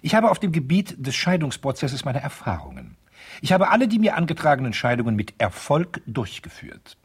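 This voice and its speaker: A pompous, husky-voiced lawyer. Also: male voice, mid-range voice, wildly swooping pitch, a little fast, full character voice. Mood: indignant, boastful. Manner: pompous, stilted formal diction. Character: pompous divorce lawyer, vain and insistent, writing formal letters. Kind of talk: 1970s radio play, letter read aloud in character.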